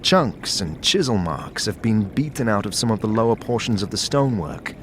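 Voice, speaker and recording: A man narrating a dramatic second-person story in a measured, atmospheric manner. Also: fairly low pitch, expressive, noisy background. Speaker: narrator and lead player.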